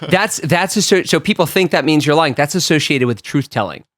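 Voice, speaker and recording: A man's voice: on the high side, lively intonation, a little fast, fairly bright tone, dry recording, studio quality. A man co-hosting a casual podcast, reading quiz items off a list and laughing easily. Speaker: podcast co-host running a quiz.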